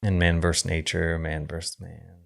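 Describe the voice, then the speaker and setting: A man co-hosting a film podcast, talking casually in an informal, halting, thoughtful way. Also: low-pitched, completely flat delivery, gravelly. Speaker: podcast co-host discussing films. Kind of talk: casual chat analysing a film.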